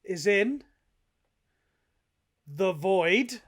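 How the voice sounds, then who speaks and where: High voiced british guy podcast host, casual sweary banter, pretty animated. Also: very slow. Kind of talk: casual chat.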